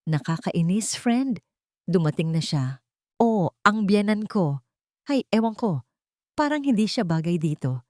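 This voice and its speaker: A woman's voice: mid-range voice, animated, measured pace, long pauses, a little mellow, no echo, studio quality, lightly acted. A woman voicing a cold, haughty daughter-in-law. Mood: annoyed, scornful.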